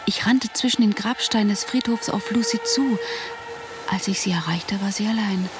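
An actress narrating a radio drama in German as a solemn young woman, with measured, suspenseful storytelling. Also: mid-range voice, close to an everyday voice. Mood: suspenseful, hushed. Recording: music and rain behind narration.

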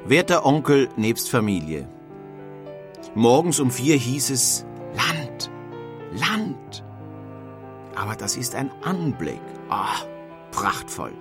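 A man's voice: medium pitch, very animated, slow, long pauses. An actor playing a nineteenth-century male emigrant, reading his letter home in a wondering, narrative way. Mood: recounting. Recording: music faintly underneath.

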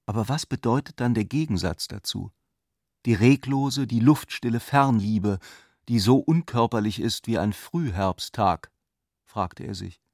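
An actor playing a serious, doubting narrator and lover in a literary radio drama, speaking in a measured, deliberate way. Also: male voice, on the low side, a little mellow, no echo, clean studio sound. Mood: reflective.

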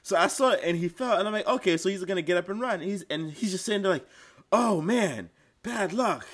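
A man's voice: sits high, lively intonation, fairly quick, husky. A man giving emphatic opinions in a casual chat about TV shows.

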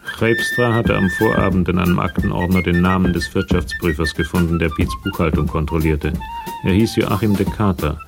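Deep, creaky-voiced crime story narrator. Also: male voice, steady tone, normal speed, over music. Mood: sober. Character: sober male narrator of a detective story. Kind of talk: scripted narration of a radio crime drama.

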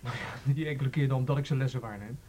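An actor in a Dutch radio drama playing an offhand, evasive orchestra musician who is standing in as a music teacher. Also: male voice, medium pitch, fast talker, darkish tone, close to an everyday voice. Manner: offhand, informal. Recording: noisy old radio drama recording.

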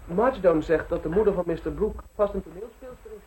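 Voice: mid-range voice, brisk, darkish tone, a little hiss or hum, lightly acted, rough recording. A performer playing a polite, sheepish, obedient upper-class youngster in an old radio play.

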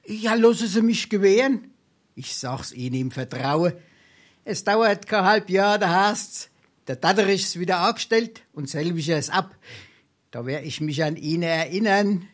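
A man's voice: high-pitched, measured pace. A male actor with a Darmstadt Hessian accent playing a wily, boastful penniless scrounger in a dialect stage comedy, theatrical and full of dramatic hesitation. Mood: sly, confiding. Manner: theatrical, braggartly, hesitating for effect.

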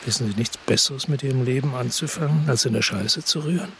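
A man's voice: mid-range voice, fairly quick, vocal fry, fairly bright tone, a little hiss or hum. An actor playing an embittered, defiant male loner in a radio crime drama, speaking in a terse, sardonic, evasive way. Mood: provocative. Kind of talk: radio crime drama with first-person narration.

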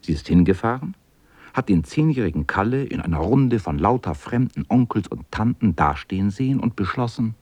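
A man narrating a children's radio play as a calm storyteller with a husky voice. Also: fairly low pitch, moderate pace, dry recording. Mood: storytelling.

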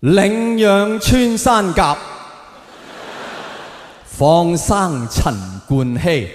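A man's voice: sits high, very slow, background noise. A man with a Hong Kong Cantonese accent performs live stand-up comedy, talking conversationally and directly to his audience on stage. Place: on stage in a large indoor venue. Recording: echoey large hall with long reverb.

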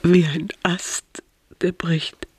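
An actress playing a grieving, subdued woman in a radio play, speaking in a hushed, halting way. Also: lowish voice, level tone, husky, no room sound, full character voice. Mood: subdued grief. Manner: hushed, halting, fading into whispers. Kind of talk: German radio play acting.

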